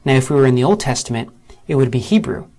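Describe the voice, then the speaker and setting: A man with a mid-range voice talks through a software tutorial in a steady, methodical way. He takes each step in order and slows right down whenever he spells out a term or letters. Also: mid-range voice. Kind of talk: scripted screen-recorded tutorial narration.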